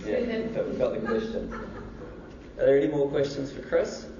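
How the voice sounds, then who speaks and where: A man hosting a lecture event, introducing the speakers in a relaxed, casual way with many fillers. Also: medium pitch, a little slow, heavy background noise. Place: university lecture hall. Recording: echoey lecture hall, distant dull mic.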